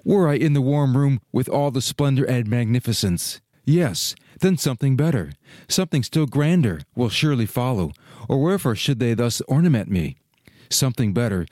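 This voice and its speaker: A man's voice: medium pitch, a little mellow, dry recording, clean studio sound, lightly acted. A man playing a young fir tree in a storybook, restless and yearning, speaking in earnest exclamations and questions. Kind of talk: dramatic storybook reading.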